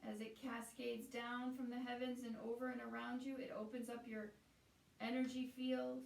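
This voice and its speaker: A woman guiding a meditation as a teacher, speaking in a soothing, unhurried way with pauses between phrases. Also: on the high side, flat delivery, vocal fry. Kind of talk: guided meditation. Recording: roomy echo, distant from the mic.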